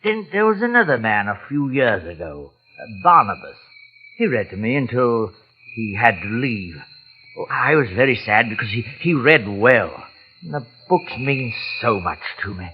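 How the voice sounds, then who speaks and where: Mister Todd, soft-spoken jungle recluse. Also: male voice, mid-range voice, very animated, normal speed, slightly rough. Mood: wistful. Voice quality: soft-spoken, stammering, chuckling. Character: soft-spoken lonely jungle recluse, middle-aged man, quietly menacing. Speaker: actor in radio drama. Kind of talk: 1940s radio acting. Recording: muffled old radio broadcast, faint hiss.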